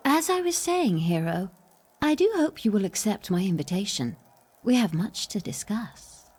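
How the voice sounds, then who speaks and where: A woman's voice: medium pitch, big pitch swings, normal speed, darkish tone, slightly rough. A woman playing a haughty, seductive noblewoman mayor in a video game, poised and formal. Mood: coolly charming. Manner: poised, imperious, flirtatiously formal.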